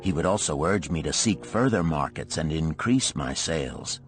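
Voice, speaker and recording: A man's voice: very deep voice, lively intonation, moderate pace, faint music behind. A man reading a story aloud as scripted audiobook narration.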